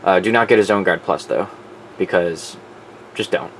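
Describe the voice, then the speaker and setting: A man with a fairly low voice talks as a hobby collector showing his things. His delivery is informal and unscripted, with loose, salty language. A faint steady noise sits behind him. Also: normal speed, rough recording. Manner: informal, profane. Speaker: collector presenting his weather radio collection. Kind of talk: casual off-the-cuff show-and-tell.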